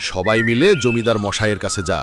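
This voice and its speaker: A man's voice: fairly low pitch, steady tone, quick pace, over music, lightly acted. A man playing a sympathetic, grave and opinionated villager in a cartoon. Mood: grave, indignant. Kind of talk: acted cartoon dialogue.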